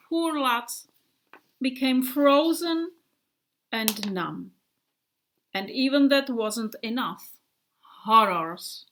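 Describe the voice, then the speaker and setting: A woman's voice: a little high, a bit bright, heavily acted. A woman voicing an earnest male bomber plane in a storybook, vividly telling his friends about a dream.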